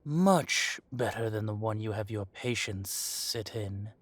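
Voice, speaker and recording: A man playing an arrogant dragon mafia boss in an audio roleplay, speaking deliberately with mock politeness and quiet threat. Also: lowish voice. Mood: smug, casual. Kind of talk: scripted roleplay audio drama monologue.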